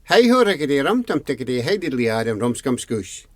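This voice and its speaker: A man's voice: fairly high pitch, big pitch swings, unhurried, darkish tone, no echo, studio quality, voice pushed up. A man voicing a proud, determined Scottish mother in a folk tale, speaking emphatically.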